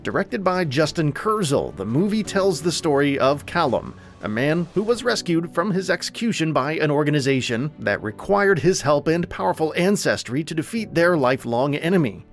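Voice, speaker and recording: A man reading scripted narration as the host of a movie list show, in a polished, emphatic presenter's delivery. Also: fairly high pitch. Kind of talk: scripted video essay narration.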